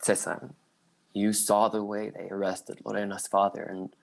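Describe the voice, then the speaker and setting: A man's voice: lowish voice, completely flat delivery, moderate pace, creaky, low-quality recording. A man reading the part of a Cuban judge and father sending his son away, earnest and fatherly, in a play table read. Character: stern but tender Cuban father, a judge.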